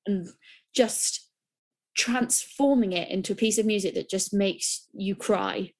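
A woman's voice: medium pitch, measured pace. A young woman, co-hosting a podcast, talking enthusiastically and rambling with restarts. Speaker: podcast co-host. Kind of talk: casual chat.

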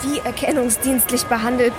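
An actress playing a rattled, irritable young activist in a naturalistic audio drama, speaking bluntly and haltingly in everyday language. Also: female voice, fairly high pitch, fairly even intonation, music in the background, natural voice. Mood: angry, upset.

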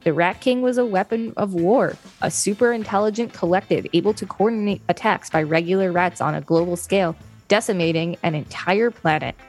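A woman's voice: fairly low pitch, brisk, a little mellow, soft background music, low-quality recording. A woman co-hosting a podcast, reading an encyclopedia article aloud. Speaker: podcast co-host.

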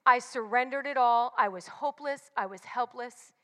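Woman, forties, high and bright, candid church speaker, long reverb. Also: normal speed. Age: 40s. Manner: candid and confessional, emphatic repetitions. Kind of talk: personal devotional talk.

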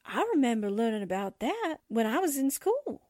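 Woman as a high-pitched, jumpy comic sidekick. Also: very animated, darkish tone, dry recording, studio quality. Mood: incredulous. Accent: American. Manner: comically frightened, drawn-out exclamations. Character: jumpy, wisecracking 1940s newspaperwoman sidekick. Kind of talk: 1940s radio drama acting.

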